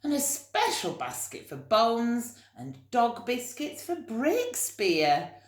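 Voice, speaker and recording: A woman reading a picture book aloud to young children, expressive, with chatty asides and gentle explanations. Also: fairly high pitch, takes it slowly. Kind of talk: animated read-aloud storytelling with chatty asides. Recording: roomy, echoey room sound.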